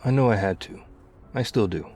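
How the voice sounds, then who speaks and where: An actor playing a tough, weary, loyal zombie apocalypse survivor in an intimate ASMR roleplay, speaking conversationally. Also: male voice, on the low side, brisk, warm tone, breathy edge, soft background music. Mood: weary, reflective.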